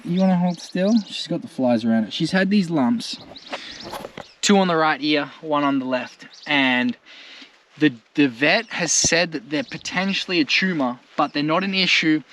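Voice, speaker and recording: A man's voice: a little high, a little slow, a bit bright. A man with an Australian accent chatting casually to viewers as a travel vlogger, in an informal, rambling, slangy way. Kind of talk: casual chat to camera. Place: outdoors in rural bush campsite. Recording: noisy outdoor recording with birdsong. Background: birds and background noise.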